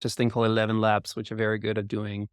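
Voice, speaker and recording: A man's voice: on the low side, monotone, fast talker, smooth, no echo. Man, dry close sound. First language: Danish. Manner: reflective, loosely phrased, lots of fillers. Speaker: podcast co-host. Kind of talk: conversational interview.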